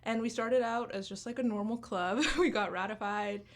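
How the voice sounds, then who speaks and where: A woman in her twenties, raised in Canada after an early childhood in Uganda, talking earnestly as a guest in a radio interview, with many "like"s and "um"s. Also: fairly high pitch. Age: 20s. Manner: earnest, talks quickly with fillers like "like" and "um". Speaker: student club founder, guest. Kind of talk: radio interview, casual chat.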